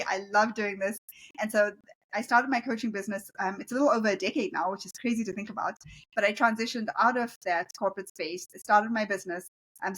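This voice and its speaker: Fast-talking South African woman coach. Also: mid-range voice, fairly bright tone, rough recording. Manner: earnest, reflective, many fillers. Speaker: guest, leadership coach. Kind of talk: conversational interview.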